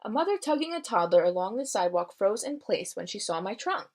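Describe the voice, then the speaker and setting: A woman's voice: medium pitch, very animated, brisk, darkish tone. Woman reading a storybook aloud, teacherly. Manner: teacherly, explaining the story simply. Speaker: read-aloud reader. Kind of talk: expressive storybook read-aloud with explanatory asides.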